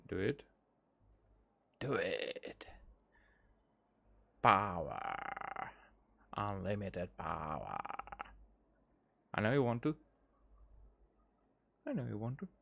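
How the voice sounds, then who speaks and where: A man's voice: lowish voice, huge pitch swings, very slow, creaky, pauses often, a bit bright. A man giving casual commentary as he plays a video game. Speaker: game streamer.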